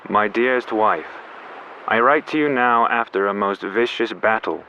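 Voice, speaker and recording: A man's voice: fairly low pitch, normal speed, sharp tone, slight background noise. A man playing an earnest, devoted sailor husband in a 1940s radio play, speaking in a stately, tender way. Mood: solemn. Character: earnest, gallant American sailor, devoted elder brother and husband. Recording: dull radio-style audio, faint music.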